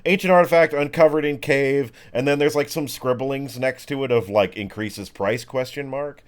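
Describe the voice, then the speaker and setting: A man's voice: mid-range voice, normal speed. A man acting as game master, improvising tabletop narration in a casual, hesitant way. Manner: hesitant, piecing descriptions together as he goes.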